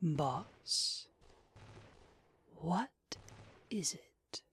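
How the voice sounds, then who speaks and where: A performer playing a deferential, loyal naga underling in an audio roleplay, with a breathy, hushed voice. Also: mid-range voice, lively intonation, slight background noise. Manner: slow, deferential. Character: deferential, loyal naga henchperson to a gang boss.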